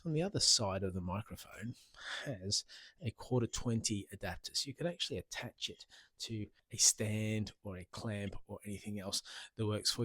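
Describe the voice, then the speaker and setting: Man, lowish pitch, casual product reviewer, chatty and repetitive, handheld wireless mic, dry sound. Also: a bit bright, no echo. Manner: chatty, informal, repeats himself. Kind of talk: casual gear review to camera. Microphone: handheld wireless interview microphone.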